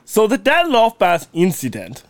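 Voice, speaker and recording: A man co-hosting a casual podcast, joking around in a put-on Russian accent with slow, deliberate phrasing. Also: sits high, huge pitch swings, dry recording. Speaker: podcast co-host.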